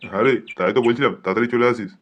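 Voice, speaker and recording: Man voicing a very fast-talking cartoon grandpa. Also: medium pitch, lo-fi sound, natural voice. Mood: cautioning. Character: nagging, protective, uneducated old grandfather. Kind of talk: comic cartoon dubbing in colloquial Bengali.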